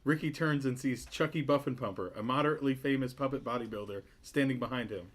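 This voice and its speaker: A man's voice: medium pitch, fast. A man reading stage directions as narrator of a sitcom table read.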